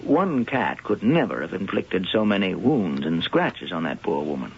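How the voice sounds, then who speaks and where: An actor playing a suave, secretive male professor who studies cats, smooth and evasive in 1970s radio acting. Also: low voice, very animated, moderate pace, exaggerated voice. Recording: lo-fi old radio broadcast with hiss.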